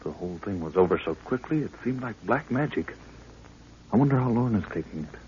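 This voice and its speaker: A man's voice: on the low side, lively intonation, brisk, a little mellow, natural voice. A man playing an earnest, respectful, anxious young assistant in a 1930s radio adventure. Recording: muffled, noisy old 1930s radio recording.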